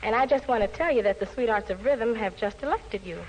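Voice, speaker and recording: A woman's voice: a little high, brisk, darkish tone. A woman, a musician guest, delivering scripted banter in a 1940s radio style. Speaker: band trumpet player, show guest. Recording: noisy, distant vintage 1940s radio recording.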